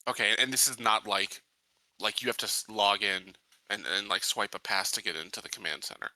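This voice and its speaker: A man chats casually as he plays a tabletop game. His voice sits low but is very bright and forceful, recorded dry and close. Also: steady tone, brisk, no room sound, poor audio.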